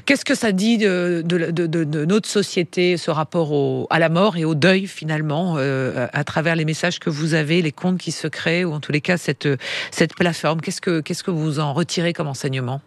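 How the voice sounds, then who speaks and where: An interviewer poses thoughtful questions in a halting, searching way, often restarting words before reaching the point. The voice sounds close and dry, with no room around it. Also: medium pitch, dry recording. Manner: searching, repeats words while asking.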